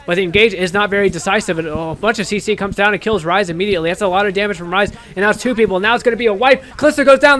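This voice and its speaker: A young man with a raspy voice, casting an esports match play-by-play by himself, often restarting his sentences and getting louder when the fights break out. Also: sits high. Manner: frequent restarts and stumbles, rising to excited shouting in fights. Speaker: esports caster. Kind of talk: solo play-by-play shoutcast.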